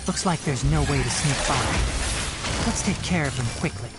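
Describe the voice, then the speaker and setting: A man's voice: mid-range voice, moderate pace, loud music behind the voice, close to an everyday voice. A man voicing a serious, protective young forest ranger in a video game, earnest, composed and analytical. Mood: concerned. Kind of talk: video game character acting.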